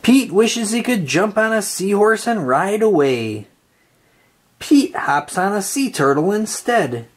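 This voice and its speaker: A woman reading a children's picture book aloud with slow, expressive, sing-song delivery. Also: mid-range voice, husky. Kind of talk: read-aloud storytelling for kids.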